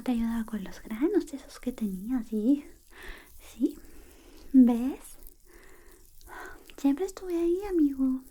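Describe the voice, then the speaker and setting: A woman playing an affectionate, teasing young best friend secretly in love, in a soft, breathy, whispered ASMR roleplay. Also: high voice. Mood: tender, caring. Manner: slow, coaxing, intimate, playfully teasing. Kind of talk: ASMR personal-attention roleplay.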